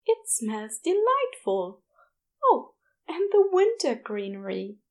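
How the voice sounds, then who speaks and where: A woman voicing a sweet, shy, polite angelic man in an audiobook story, hesitant and softly gushing. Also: high voice, huge pitch swings, slow pace, exaggerated voice. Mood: delighted.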